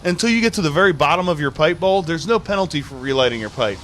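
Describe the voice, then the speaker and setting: A man co-hosts a relaxed chat and explains things in a meandering, easygoing way. His voice sits a little high, and a faint ambience lies under it. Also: fast talker, slight background noise. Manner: easygoing, rambling explanations with fillers. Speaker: podcast co-host. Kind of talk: casual chat. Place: bar, live show.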